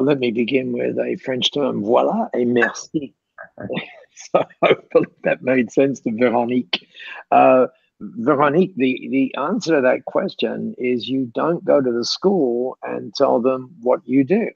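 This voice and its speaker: A man's voice: mid-range voice, a little slow, creaky, darkish tone, slightly rough, dry recording. A man answering questions as a guest speaker in chatty, repeating speech that breaks into laughs, on a dull lo-fi call recording. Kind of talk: mentoring Q&A on a call.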